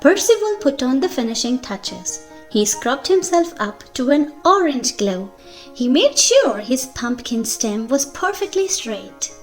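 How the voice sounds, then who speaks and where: A woman reading a children's picture book aloud in a slow, expressive storytelling manner for young listeners. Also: high voice, soft background music.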